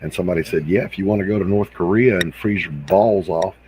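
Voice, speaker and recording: A man's voice: deep voice, quick pace, a little mellow, a little hiss or hum. A man from South Carolina with an American accent, chatting casually in a wry, joking way. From Hilton Head Island, South Carolina. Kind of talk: casual chat.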